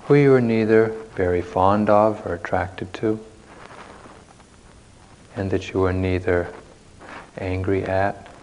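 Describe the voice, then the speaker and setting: A man leading a guided meditation as a teacher, speaking in measured short phrases with long pauses, recorded live at a retreat. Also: low voice, fairly even intonation, darkish tone, slight background noise, rough recording.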